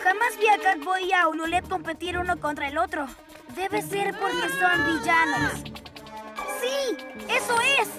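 An earnest little boy hero with a very high, eager voice and a Latin American Spanish accent. The cartoon has loud music behind the dialogue. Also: measured pace. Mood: earnest, worried. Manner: eager, exclamatory. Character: earnest, plucky six-year-old boy superhero. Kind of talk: children's animated cartoon dub.

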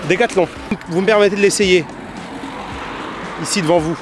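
A man's voice: sits high, moderate pace, background noise. A young man hosting joking street interviews outdoors, teasing people with absurd questions in a casual tone. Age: young adult. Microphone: handheld interview microphone. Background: music, street sound.

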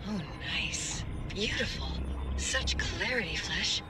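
A woman voicing a morbid, detached female AI in a video game, speaking in a measured way. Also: on the low side, lively intonation. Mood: morbid delight. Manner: measured, detached, relishing gore. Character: coolly morbid female AI fascinated by frail flesh. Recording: loud music behind the voice.

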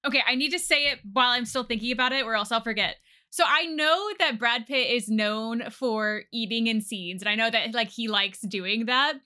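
A young woman, chatting casually and excitedly as she reacts on a desk microphone. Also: a little high, normal speed. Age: young adult. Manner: excitable, expressive. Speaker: film reaction video host. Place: small decorated indoor studio room. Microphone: desktop USB microphone.

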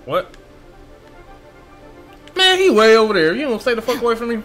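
A man's voice: extremely high pitch, very animated, moderate pace. A man giving casual, slangy, profane gameplay commentary as he streams from a room. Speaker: streamer playing a video game. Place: indoor room. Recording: game music and effects behind.

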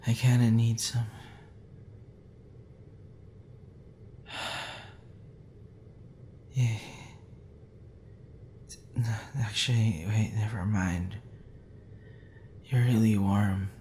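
Man acting a low, gravelly, drunk young crush. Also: steady tone, dark tone, a little hiss or hum. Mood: drowsy, flustered. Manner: stammering, halting, tipsy rambling with breathy sighs. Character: drunk young man, flustered, tender crush and best friend. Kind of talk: intimate ASMR audio roleplay acting.

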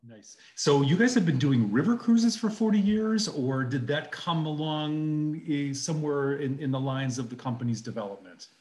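A man's voice: fairly high pitch, normal speed, hardly any pauses, darkish tone. A middle-aged man hosting an interview over a video call, upbeat and enthusiastic. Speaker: host.

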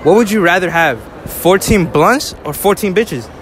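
A man's voice: high-pitched, animated, normal speed, a little hiss or hum. A young man from New hosting a casual street interview in a shopping mall, talking into a handheld mic in upbeat slang and repeating "all right" a lot. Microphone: handheld mic held to speakers.

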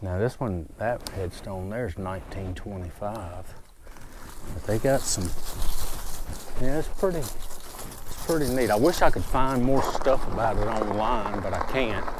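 A man's voice: lowish voice, measured pace. A man chatting casually while riding an e-bike on a wooded trail, thinking aloud with restarts, recorded through a helmet mic. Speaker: vlogger on an e-bike ride. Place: outdoors on a gravel trail in the woods. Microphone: helmet-mounted. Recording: clear outdoor wind and road noise. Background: outdoor trail noise.

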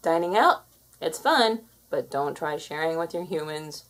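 A woman's voice: low-pitched, expressive, measured pace. A woman voicing a confident, bossy alpha cat who lectures kittens, in a theatrical storybook read-aloud style. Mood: sly, instructive.

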